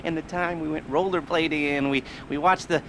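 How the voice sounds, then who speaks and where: A man's voice: steady tone, a little fast, background noise, lightly acted. An actor playing a jittery, heavily medicated male heist planner in a video game, rambling and laughing nervously. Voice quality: nervous, rambling, giggly, manic swings in pitch. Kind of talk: video game cutscene acting.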